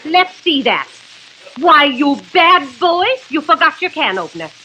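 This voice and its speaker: An actress playing a bossy old-fashioned aunt fussing over young boys in 1930s radio comedy. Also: female voice, high-pitched, sing-song, unhurried, highs cut off. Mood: scolding, motherly. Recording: noisy old radio recording with sizzling hiss.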